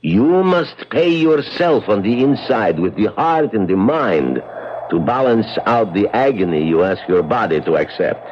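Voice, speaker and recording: Casting: sage elderly uncle, low and fatherly, measured delivery, old radio drama, muffled with light noise. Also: big pitch swings. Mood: philosophical. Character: kindly, philosophical old uncle. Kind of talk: 1970s radio drama acting. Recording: dull, noisy 1970s radio recording.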